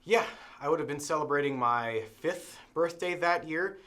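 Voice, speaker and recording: A man's voice: a little high, moderate pace, sharp tone. An actor in his forties playing a man remembering a childhood birthday party. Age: 40s. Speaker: interviewee. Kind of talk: film dialogue.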